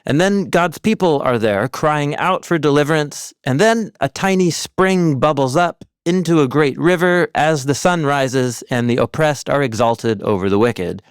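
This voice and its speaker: A man narrating an educational explainer from a script, explaining clearly in a teacherly way with informal touches. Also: fairly high pitch, expressive, measured pace, few pauses, no echo, studio quality. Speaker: narrator of an animated Bible overview. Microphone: close studio voice-over mic, dry.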